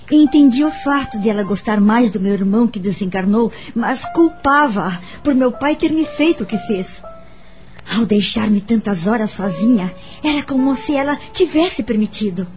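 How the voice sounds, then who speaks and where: A woman playing a gentle, wounded but forgiving adult daughter who recounts her family past in a radio drama, measured and heartfelt. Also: medium pitch, natural voice. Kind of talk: dramatised first-person narration, radio drama. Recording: background music under the voice.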